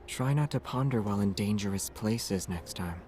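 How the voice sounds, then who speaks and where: A man playing a calm young monster hunter in a video game, teasing in an understated, deadpan way. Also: lowish voice, moderate pace, faint music behind, close to an everyday voice.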